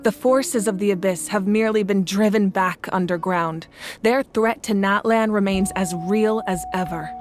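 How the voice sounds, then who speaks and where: A woman playing the calm, grave ruler of a fire nation in a video game, speaking in a measured, formal way. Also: medium pitch, close to an everyday voice. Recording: game audio with loud music.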